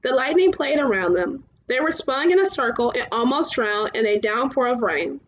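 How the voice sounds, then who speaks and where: A woman reading children's fantasy fiction aloud, somewhat halting, often stumbling and going back over phrases. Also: high-pitched, animated, highs cut off, slight background noise. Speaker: storybook reader.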